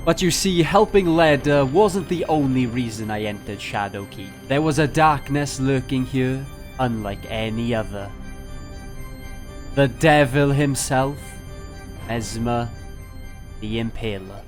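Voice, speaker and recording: A man's voice: medium pitch, expressive, measured pace. A man narrating his gameplay as a video host, mixing mock-epic roleplay with casual, profane jokes. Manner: profane, joking. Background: music.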